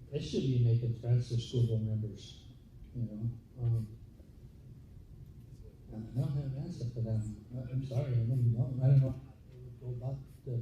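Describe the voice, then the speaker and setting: A man born in Spain, a county sheriff, answering questions from the public at a community meeting in a plain-spoken, halting way full of fillers and restarts. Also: medium pitch, warm tone, a little hiss or hum. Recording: distant muffled mic in echoey room.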